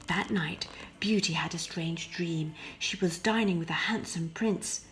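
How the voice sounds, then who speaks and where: A woman narrating a scripted fairy tale as an expressive storyteller, often hushing her phrases. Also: lowish voice, a little slow, over music, low-quality recording. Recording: faint background noise.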